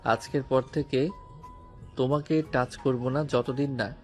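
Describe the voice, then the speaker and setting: A man playing a commanding, possessive young policeman husband in an audio romance story, speaking deliberately and dropping in English phrases. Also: mid-range voice, steady tone, a little mellow, faint music behind, close to an everyday voice. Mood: hurt, stern.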